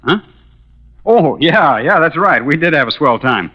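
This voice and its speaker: An uneasy, casually friendly American boyfriend in a fifties radio drama. His voice is bright and animated, halting as he talks. The old recording sounds dull. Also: fairly high pitch, heavily acted. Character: awkward, hesitant boyfriend covering with forced friendliness. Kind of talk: 1950s radio drama acting.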